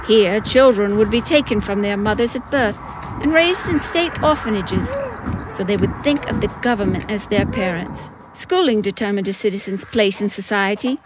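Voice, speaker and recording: A woman's voice: medium pitch, moderate pace, noisy background. A woman reading scripted comic narration with theatrical, wry emphasis. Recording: music behind the voice.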